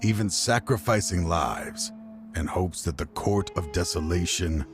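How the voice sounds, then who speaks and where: Low, brooding, weary older fighter. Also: male voice. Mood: sorrowful, reflective. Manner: theatrical, deliberate, brooding. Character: grieving middle-aged father, proud, weary former partner turned adversary. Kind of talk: dramatic video game voice acting. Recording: light music behind the voice.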